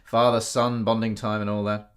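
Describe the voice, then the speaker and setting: A man's voice: on the low side, moderate pace, clean tone, close to an everyday voice. A man playing a hearty, teasing writer in a period radio play, with a jovial, old-fashioned way of talking. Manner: jovial, expressive, old-fashioned turns of phrase. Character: hearty, teasing gentleman writer in 1870s Italy. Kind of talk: radio drama acting.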